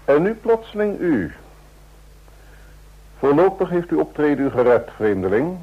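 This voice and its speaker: A shrewd, easygoing president in an old radio drama. He speaks formally and probingly, with wide swings of intonation in a husky, fairly low voice. The recording is dull and muffled. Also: big pitch swings, a little slow, heavily acted. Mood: probing, composed. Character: shrewd, easygoing president of a large federation, a man.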